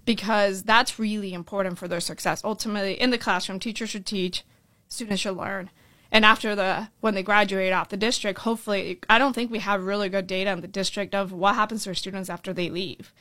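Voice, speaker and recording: A woman's voice: medium pitch, steady tone, pushed and bright, no room sound. Earnest Colombian-born woman candidate. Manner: earnest, fast-flowing with frequent "right?" tags. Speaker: school board candidate. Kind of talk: podcast interview.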